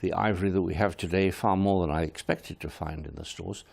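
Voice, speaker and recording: Gravelly man. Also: low voice, steady tone, darkish tone. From Kenya. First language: Swahili. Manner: frank, firm, measured statements. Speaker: interview guest, wildlife service head. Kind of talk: studio interview answers.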